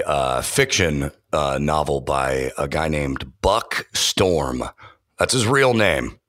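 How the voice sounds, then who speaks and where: A man's voice: very deep voice, a bit bright, no room sound, studio quality. A man who works in voiceover and audio engineering, chatting enthusiastically in an interview with many fillers and restarts. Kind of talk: casual interview talk.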